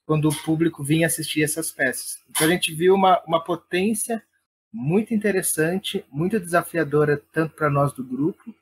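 Higher-pitched husky man with São Paulo accent. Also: normal speed. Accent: São Paulo Brazilian. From Perus, São Paulo, Brazil. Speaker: interviewed playwright and theatre director. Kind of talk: reflective interview talk.

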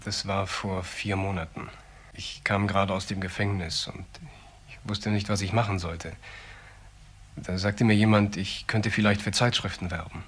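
Low-voiced, hesitant ex-convict. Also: male voice, fairly even intonation, fairly bright tone, husky. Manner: halting, brief, simple answers. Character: subdued, hesitant young male ex-convict suspect. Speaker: actor in a crime radio play. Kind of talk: German crime radio drama dialogue.

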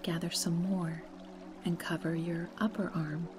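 Slow, low-voiced woman guiding meditation. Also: little pitch movement. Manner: soft, breathy, unhurried, soothing delivery. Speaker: guided meditation host. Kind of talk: slow guided meditation narration. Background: meditation music.

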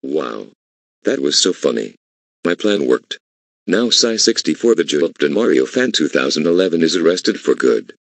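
A man's voice: a bass voice, very animated, moderate pace, gravelly, no echo, heavily acted. A synthetic male voice playing a scheming cartoon troublemaker, speaking stilted scripted lines. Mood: smug, scheming. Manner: stilted, synthetic text-to-speech delivery. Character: scheming blue cartoon troublemaker who makes prank calls. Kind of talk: scripted animated dialogue.

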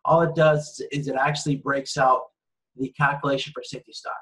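Husky man lecturing, full of ums. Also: medium pitch, little pitch movement, fairly quick, fairly bright tone. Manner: rambling, self-correcting with frequent ums. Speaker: university lecturer. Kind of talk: recorded slide lecture.

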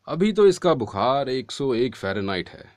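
A man's voice: mid-range voice, big pitch swings, normal speed, no room sound. A man coaching a voice-over audition, giving casual instructions and demonstrating lines in a directive manner.

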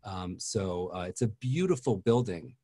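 A man's voice: deep voice, animated, measured pace, dark tone, breathy edge, no echo. Host, man in his forties, friendly leading questions over a video call, dry close sound.

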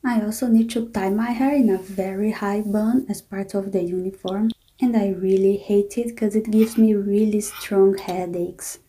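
A young woman voicing an unhappy office worker, speaking a complaining inner monologue in a weary, flat way. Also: medium pitch, normal speed, hardly any pauses, natural voice, poor audio. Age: young adult. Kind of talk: scripted inner-monologue narration.

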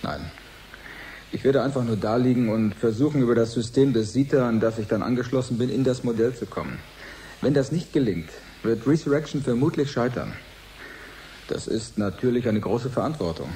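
Casting: man acting sober, dutiful male researcher for radio drama, mellow voice, slight noise behind. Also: medium pitch, expressive, normal speed, natural voice. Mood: calm, sober. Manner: sober, matter-of-fact. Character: calm, dutiful male scientist volunteering for experiment. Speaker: research assistant, scientist.

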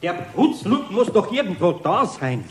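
An actor playing a good-natured, grumbling older master craftsman with a Bavarian accent in a 1970s German children's radio play, homely and gently chiding. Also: sits high, sing-song, normal speed, slight background noise. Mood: mildly exasperated.

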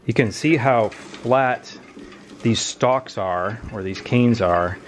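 A man's voice: mid-range voice, slow, background noise. A man, hosting a homesteading how-to vlog, explaining each step steadily to camera on a lapel mic. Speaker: homesteading channel host. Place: home workshop barn, then a kitchen, indoors. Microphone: clip-on lapel mic.

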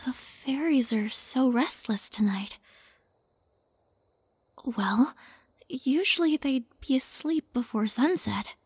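A woman playing a sweet, shy young witch healer in an intimate audio roleplay, speaking gently and hesitantly, often near a whisper. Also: sits high, slow, pauses often, husky, dry recording, heavily acted. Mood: gently curious.

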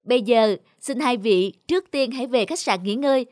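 A woman's voice: fairly high pitch, moderate pace, darkish tone, no room sound, clean studio sound, natural voice. A woman voicing a polite, deferential male tour guide in a cartoon dub, with music underneath. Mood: welcoming. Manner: polite, formal presenting.

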